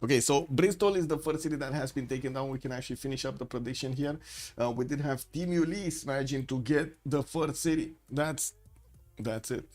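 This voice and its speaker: A man's voice: medium pitch, brisk, a little mellow, soft background music. A man hosting a livestream, giving rambling live commentary on a strategy game match and greeting chat viewers. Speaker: stream host and caster.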